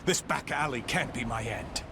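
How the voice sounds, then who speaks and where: A man's voice: medium pitch, moderate pace, vocal fry, noisy background, close to an everyday voice. An actor playing a proud, embittered fugitive nobleman in a video game, with formal, theatrical, brooding diction. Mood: despairing. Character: proud, embittered fugitive French nobleman swordsman, weary and betrayed. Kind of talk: dramatic video game acting. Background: music.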